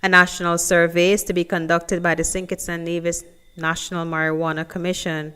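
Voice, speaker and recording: A woman's voice: fairly low pitch, flat delivery, fairly quick, pushed and bright, clean tone. A woman reading scripted news narration as a reporter.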